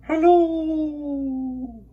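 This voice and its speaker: Guy doing the moon in a kids book, high slow faraway echo voice, drawn out copycat phrases. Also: steady tone, narrow, tinny audio, heavily acted. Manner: drawn-out, echoing mimicry.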